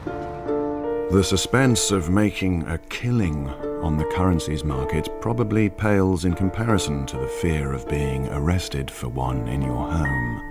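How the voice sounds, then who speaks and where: A man's voice: a bass voice, a little slow, over loud music. An actor playing a sly, knowing male narrator of a crime tale, wry and ominous in dramatic scripted storytelling.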